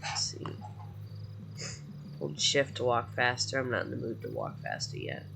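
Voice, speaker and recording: A man's voice: medium pitch, wildly swooping pitch, normal speed, creaky, whispered, rough recording. A young man with a congested voice giving casual, rambling commentary to viewers while playing a game. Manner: chatty and rambling, talking to viewers. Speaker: gameplay streamer. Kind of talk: casual let's-play commentary.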